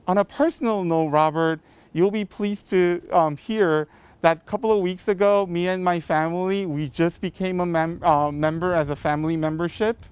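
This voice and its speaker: A man's voice: fairly high pitch, darkish tone, smooth. A middle-aged man speaking as a sponsor's representative, giving a polite, earnest prepared welcome speech.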